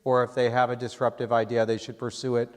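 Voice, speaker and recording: A man moderating a class Q&A, asking questions briskly and joking with the guest. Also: medium pitch, flat delivery. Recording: long hall reverb.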